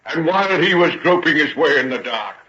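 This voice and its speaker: An actor playing a sinister, mocking gentleman with elaborate politeness in an old radio drama. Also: on the high side, lively intonation, crowd noise, close to an everyday voice. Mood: gloating narration. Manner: taunting, theatrical, drawn-out polite phrasing. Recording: dull, noisy old radio recording with clicks.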